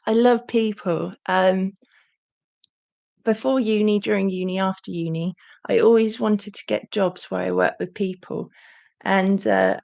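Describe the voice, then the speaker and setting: A young woman hosting a casual livestream Q&A, with a croaky voice and a chatty manner full of ums. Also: mid-range voice. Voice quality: croaky, gravelly. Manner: chatty, warm thanks, frequent ums. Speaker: channel host.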